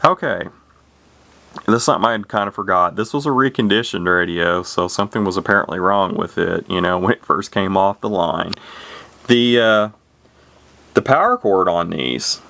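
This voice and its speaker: Deep gravelly man, rambling casually. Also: normal speed, pushed and bright. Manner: rambling, hesitant, repeats himself. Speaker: hobbyist radio reviewer. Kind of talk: casual unscripted product walkthrough. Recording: noisy amateur camcorder audio.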